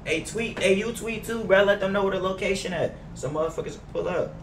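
A man's voice: sits high, fairly quick. Echoey, slangy young male game streamer. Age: young adult. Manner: slangy, informal. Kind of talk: casual live-stream chat while gaming. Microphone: gaming headset. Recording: echoey, distant, game car noise.

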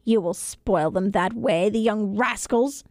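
A woman voicing a scolding but soft-hearted old peasant grandmother, grumbling and emphatic, in a storybook reading. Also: mid-range voice, unhurried, no room sound, clean studio sound, lightly acted.

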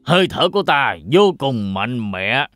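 A man's voice: mid-range voice, very animated, measured pace, a bit bright, faint music behind. A man voicing a sly, menacing big bad wolf in a children's fairy tale cartoon, with a threatening drawl.

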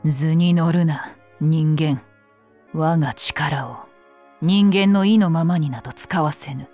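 A woman's voice: deep voice, slow. A woman voicing a proud ancient female spirit who scorns humans in a game cutscene, speaking in haughty, archaic, commanding phrases. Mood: scornful. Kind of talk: video game cutscene acting. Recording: dull muffled sound under loud music.

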